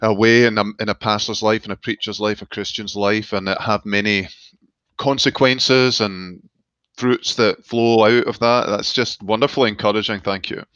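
A middle-aged Scottish man from Glasgow speaks as a guest with a measured, reflective manner. His voice sits a little low and the remote line sounds dull and dry, with no room around it. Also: steady tone, a bit bright, clean tone, dry recording. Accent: Glaswegian Scottish. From Scotland. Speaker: seminary professor and guest. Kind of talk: reflective interview talk. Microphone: remote call line.

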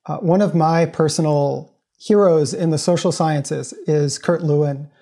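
A man's voice: a little high, steady tone, measured pace, warm tone. A man from the United States, a professor, answering interview questions earnestly and explaining at length. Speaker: guest, professor.

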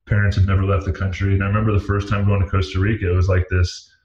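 Low, even-toned American man in echoey room. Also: very fast. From United States. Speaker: interviewer, host. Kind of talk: conversational interview. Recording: echoey room, dull lo-fi audio.

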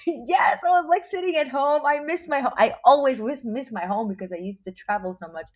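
A woman from Israel, a singer chatting as a guest in an interview. She is animated, laughs often and restarts her sentences. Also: sits high, moderate pace. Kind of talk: casual interview chat.

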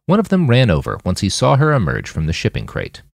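A man's voice: fairly low pitch, huge pitch swings, brisk, creaky, dry recording, clean studio sound. A man reading scripted fiction as an audiobook narrator.

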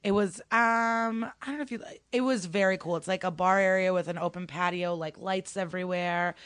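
A woman's voice: on the low side, fairly even intonation, moderate pace, a bit bright, clean tone, dry recording. A young woman from Ukraine, a stand-up comedian, chatting casually as a podcast guest and telling stories with many fillers.

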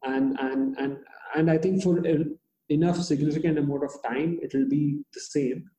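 A man with an Indian accent, whose first language is Hindi, speaking as a guest in an interview. He talks haltingly, with many restarts and fillers. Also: on the high side, steady tone, dark tone, echoey room, poor audio. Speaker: startup founder, guest. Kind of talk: interview conversation.

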